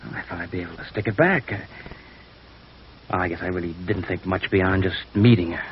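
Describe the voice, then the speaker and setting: A shamefaced, earnest young man, played by an actor in fifties radio drama, halting and wistful with longing. His voice sits fairly low. The old recording is muffled, with faint noise. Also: natural voice. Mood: halting, ashamed. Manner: halting, confessional, swelling into wistful outbursts. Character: lovesick, shamefaced young male office thief, humble and earnest. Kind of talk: 1950s radio drama acting. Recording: dull, noisy vintage radio recording.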